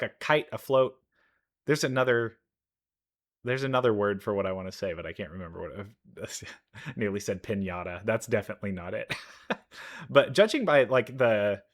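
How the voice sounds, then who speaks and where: A man giving casual, enthusiastic reaction commentary, halting as he thinks aloud. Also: fairly low pitch, fairly bright tone. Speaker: reaction video host, gamer.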